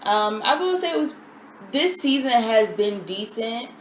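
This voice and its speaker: A woman co-hosting a casual TV recap chat, talking in a relaxed way with many ums and drawn-out phrases. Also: fairly high pitch. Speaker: co-host. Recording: echoey room with faint noise.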